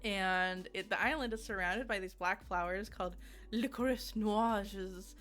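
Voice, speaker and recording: A woman talks through a casual video review in an ordinary mid-pitched voice. Her delivery is chatty and giggly, wandering off and interrupting itself as it goes. Music plays underneath her. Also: a little slow, fairly bright tone. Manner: rambling, self-interrupting, giggly. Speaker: video game reviewer and streamer. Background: background music.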